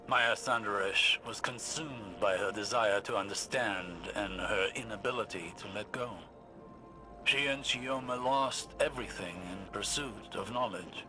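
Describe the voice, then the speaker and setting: An actor playing a thoughtful, scholarly man who speaks formally and with measured care in a video game. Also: on the low side, lightly acted. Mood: solemn. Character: contemplative, scholarly man pondering old records. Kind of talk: scripted game dialogue. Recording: music behind the voice.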